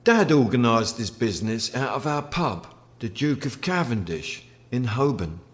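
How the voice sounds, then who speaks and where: A man reading literary fiction aloud as its author, with theatrical, deliberate phrasing. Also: mid-range voice, big pitch swings. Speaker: author reading from own novel. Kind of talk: literary audiobook-style narration.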